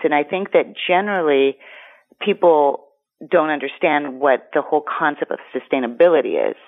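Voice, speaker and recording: Greek woman on a tinny phone line. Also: low-pitched. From United States. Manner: rambling, frequent fillers and restarts. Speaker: guest. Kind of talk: casual interview talk.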